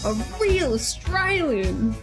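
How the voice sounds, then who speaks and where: A woman's voice: sits high, very animated, slow, over loud music. A woman talking playfully and jokingly in a casual social media clip.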